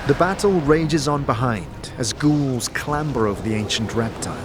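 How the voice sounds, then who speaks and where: A man's voice: mid-range voice, lively intonation, moderate pace, noisy background. A man narrating a fantasy audio drama as game master, with dramatic, descriptive storytelling. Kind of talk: scripted second-person fantasy narration. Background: music.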